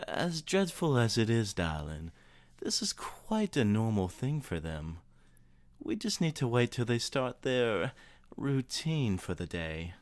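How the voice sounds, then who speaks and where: A man voicing a genteel, gossipy, dramatic female cartoon pony in an affected, drawn-out manner. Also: mid-range voice, very animated, pitched above the performer's own voice. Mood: knowing. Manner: affected, drawn-out, calls listener darling.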